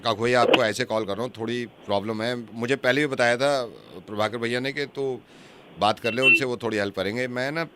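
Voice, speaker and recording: A man's voice: medium pitch, fairly even intonation, a little fast, heavy background noise, natural voice. A man playing a harried husband on a prank phone call, apologetic and repeating himself as he pleads. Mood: pleading, exasperated.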